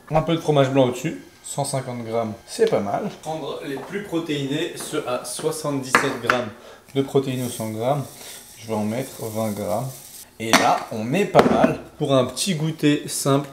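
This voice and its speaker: A man's voice: medium pitch, normal speed. A young man, a nutrition coach, chatting casually in a vlog as he explains his food and daily steps. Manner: chatty, explaining step counts and food as he goes. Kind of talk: casual vlog chat to camera. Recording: faint background noise.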